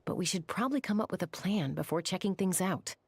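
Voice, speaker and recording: Low, expressive, level-headed warrior woman. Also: fast talker, slightly rough, dry recording. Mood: resolute, concerned. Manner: firm, questioning, practical. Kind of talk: video game voice acting.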